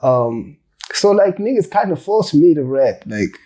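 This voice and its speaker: Relaxed slangy rapper man, mellow voice. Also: a little high, a little slow. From South Africa. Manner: loose, slangy, swears freely, laughs while telling stories. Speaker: rapper, interview guest. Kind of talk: casual interview chat.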